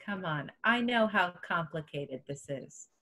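A woman's voice: lowish voice, expressive, measured pace, bright, forceful tone, heavily acted. A woman reading the part of a wise, motherly older resistance general in a table read over a video call. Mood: tender, earnest. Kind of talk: table read of a film script.

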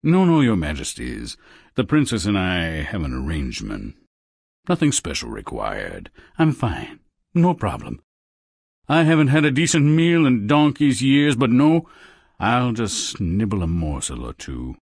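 Smug, low-voiced courtly frog. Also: male voice, huge pitch swings, unhurried, gravelly, darkish tone, slightly rough, dry recording, clean studio sound, exaggerated voice. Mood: smug, wheedling. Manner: suave, wheedling, theatrical. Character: smug, courtly male frog, secretly a king. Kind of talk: video game fairy-tale character acting.

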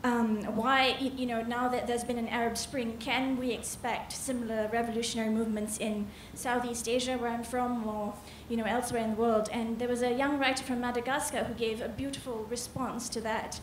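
A woman's voice: on the high side, flat delivery, brisk, hardly any pauses. A young woman from Malaysia speaking hesitantly into a handheld mic from the audience of a literary panel in a large hall. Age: young adult. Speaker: audience member asking a question. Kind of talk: spontaneous remarks at a literary panel. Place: large indoor conference hall. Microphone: handheld microphone. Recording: roomy, echoing auditorium with some noise.

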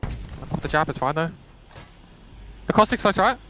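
A man's voice: fairly high pitch, animated, moderate pace, fairly bright tone, a little hiss or hum. A man playing in an esports team, making urgent, repeated callouts and swearing in the heat of a match. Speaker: esports player calling plays. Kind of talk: excited in-game team callouts.

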